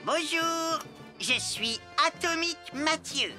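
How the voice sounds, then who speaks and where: A woman's voice: high voice, expressive, takes it slowly, pushed and bright, faint music behind, full character voice. A woman voicing an earnest, eager young child in a French cartoon dub, giving instructive warnings.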